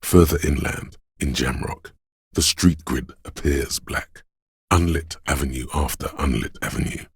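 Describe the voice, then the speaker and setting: Extremely deep, gravelly, brooding city spirit. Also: male voice, no room sound, heavily acted. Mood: solemn, atmospheric. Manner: solemn, poetic, measured. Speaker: video game voice actor, narrator. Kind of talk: slow atmospheric second-person narration.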